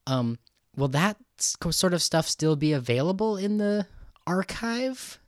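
A man hosting a podcast in a casual, halting, thinking-aloud way as he talks with a guest. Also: sits high, very animated, no room sound, studio quality. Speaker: podcast host interviewing a guest. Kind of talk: casual conversational podcast talk.

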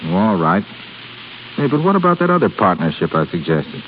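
A man's voice: low voice, very animated, brisk. An actor playing an eager, lovestruck young businessman, breezy and boyish, in 1930s radio drama style. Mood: lovestruck, pleading. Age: young adult. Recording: muffled, noisy 1930s radio recording.

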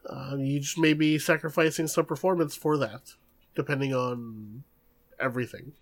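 A man co-hosting a casual gaming podcast, relaxed and hedging as he talks. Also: medium pitch, moderate pace. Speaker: podcast co-host.

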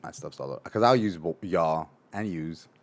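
A man hosting a casual interview podcast, who asks his questions haltingly, restarts them often and laughs easily. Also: sits low. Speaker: podcast host.

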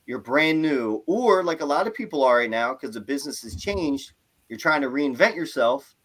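Animated, rambling male podcast host. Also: on the high side, moderate pace, low-quality recording. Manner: animated, rambling, lots of "like" and "right?". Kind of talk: casual interview chat.

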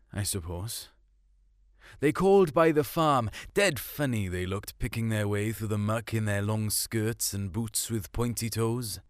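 A man's voice: medium pitch, no echo, clean studio sound, natural voice. A man voicing a big Welsh hill farmer in a short story, reminiscing wistfully in colloquial dialect.

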